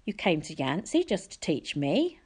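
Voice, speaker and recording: Woman voicing an animated, puzzled young boy. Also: lowish voice, moderate pace, breathy edge, full character voice. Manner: hesitant, questioning. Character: eager, puzzled, polite twelve-year-old boy hero.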